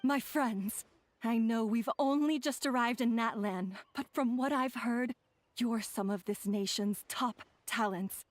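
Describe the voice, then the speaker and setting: A woman's voice: fairly high pitch, unhurried, no echo, close to an everyday voice. A woman voicing a worried traveling merchant in a video game who speaks earnestly. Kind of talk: video game cutscene voice acting.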